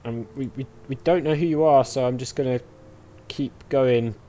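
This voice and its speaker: A man's voice: medium pitch, steady tone, moderate pace, mellow. A man doing casual let's play commentary, thinking aloud as he goes. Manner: thinking aloud, reacting to the game. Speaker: gaming streamer.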